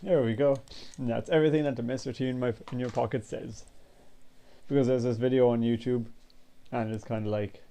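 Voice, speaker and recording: A man's voice: medium pitch, brisk. Congested young guy rambling. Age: 20s. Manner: rambling, relaxed, casual swearing. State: congested, sniffly. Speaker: vlogger. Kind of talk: casual chat.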